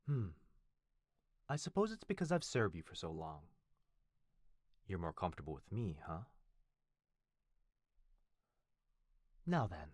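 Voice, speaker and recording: A man playing a devoted head butler who speaks formally and fondly, with gentle teasing, in an ASMR roleplay. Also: deep voice, no echo, clean studio sound. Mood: attentive, polite. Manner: formal, deferential, fond, softly teasing. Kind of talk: ASMR boyfriend roleplay, scripted acting.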